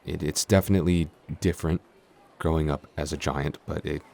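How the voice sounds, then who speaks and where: A man's voice: rumbling low, steady tone, fairly quick, creaky, dark tone, a little hiss or hum. A man playing a shy, clumsy giant in a roleplay audio, stammering, hesitant and constantly apologetic. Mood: bashful, reflective. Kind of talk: intimate roleplay audio acting.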